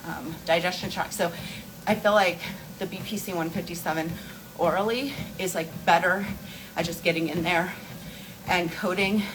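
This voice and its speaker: A woman's voice: fairly low pitch, noisy background. A woman hosting a casual livestream, answering viewer questions in a rambling, informal, explanatory way while walking on an inclined treadmill. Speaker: beauty and wellness livestream host.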